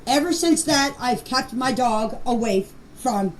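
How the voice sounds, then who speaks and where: A woman's voice: fairly high pitch, lively intonation, a little slow. An older woman giving an informal personal account in voice messages, plain-spoken and emphatic, often repeating herself. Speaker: grandmother recounting events. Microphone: voice clips recorded for a group chat. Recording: distant, noisy voice message recording.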